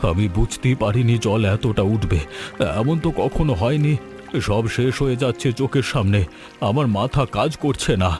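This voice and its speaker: A man's voice: mid-range voice, normal speed, lightly acted. A man voicing a proud, snobbish, wealthy village landowner in a cartoon. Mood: stunned. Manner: haughty. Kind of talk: Bengali cartoon dialogue. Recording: music behind the voice.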